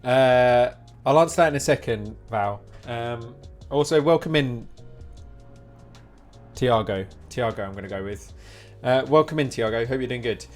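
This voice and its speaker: A man's voice: mid-range voice, measured pace. Guy live streaming a game, chatting casually to chat, bit of music under it. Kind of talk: casual gaming stream chat, replying to viewers.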